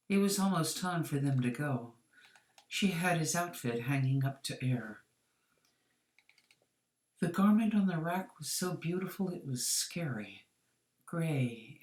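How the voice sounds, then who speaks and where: An author reading their own short story aloud as scripted narration, with expressive, dramatic delivery. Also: mid-range voice. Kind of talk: scripted narration, audiobook-style fiction reading. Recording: roomy, echoing sound.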